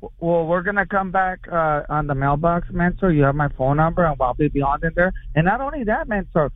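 A man calling in to a radio show, talking in an excitable, rambling way. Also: a little high, fairly quick, no room sound. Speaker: radio caller. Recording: tinny phone line.

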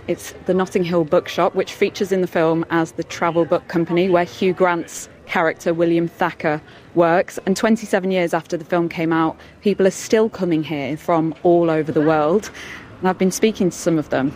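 British woman reporter, slightly low voice. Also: fairly even intonation, moderate pace, darkish tone. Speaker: senior producer reporting on location. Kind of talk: on-location radio reporting with interviews.

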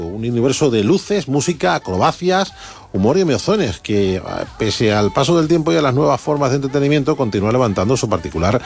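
A man hosting a radio interview, reading a scripted intro in a polished, formal way. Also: mid-range voice. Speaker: radio host.

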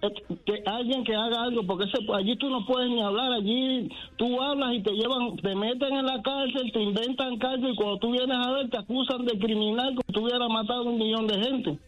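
A man's voice: very high-pitched, fairly even intonation, brisk, lo-fi sound, slight background noise. A man with a Cuban accent giving an impassioned opinion as a radio caller, emphatic and piling up repetitions. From Cuba. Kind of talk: impassioned opinion on a call-in show.

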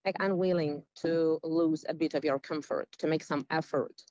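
Low-pitched Ukrainian woman arguing firmly. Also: fairly even intonation, normal speed, a little mellow, no echo, lo-fi sound. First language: not English. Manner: emphatic, dismissive, with non-native phrasing. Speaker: interview guest. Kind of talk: opinionated live discussion.

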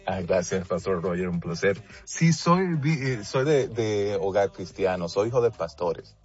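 A man's voice: on the low side, animated, a little slow. Dominican male singer, radio guest, chatty with restarts, dull lo-fi line, faint music under. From Dominican Republic. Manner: warm, frequent restarts and "así es". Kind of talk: casual phone interview.

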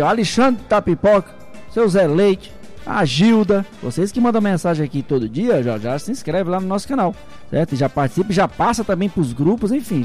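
A radio sports host with a fairly high voice talks to his listeners in a loose, jokey way, repeating points over and over. Faint music plays behind him in the studio. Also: darkish tone. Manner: chatty, jokey, repeats himself. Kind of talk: radio chat promoting the show's channel.

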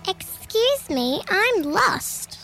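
A tiny young lost creature from a children's storybook. The little girl's voice is extremely high and bright. It sounds timid and plaintive, hesitating over its words. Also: big pitch swings, drawn-out words, vocal fry, soft background music, heavily acted.

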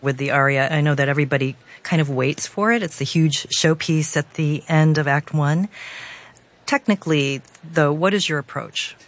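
A woman's voice: low voice, normal speed. A woman hosting a radio broadcast, moving between scripted links and interview questions.

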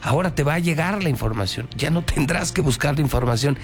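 A man's voice: on the high side, animated, fast talker. A man with a Mexican accent presenting radio news with commentary, emphatic and colloquial, often repeating phrases for weight. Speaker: news anchor. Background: music.

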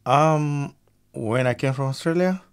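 A man from Nigeria guesting on a radio show, upbeat and chatty as he talks about his music. His pitch sits in the middle for a man, and his phrases often drop away quietly at the end. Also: a little slow, clear, resonant tone. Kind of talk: radio interview.

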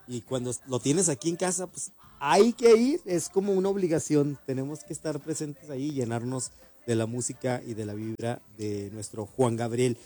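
Mellow radio host. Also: male voice, mid-range voice, normal speed. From Ciudad Juárez, Mexico. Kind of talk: radio interview.

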